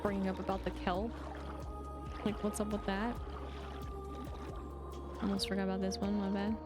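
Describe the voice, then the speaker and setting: A woman's voice: medium pitch, flat delivery, normal speed. Casual woman rambling over game music. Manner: rambling, thinking aloud. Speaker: game streamer. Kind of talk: casual live gaming commentary.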